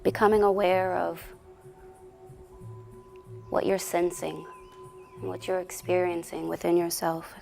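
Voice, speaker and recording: A woman leading a guided meditation in a soothing, deliberate way with long pauses, her soft voice often sinking toward a whisper. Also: lowish voice, little pitch movement, vocal fry. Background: music.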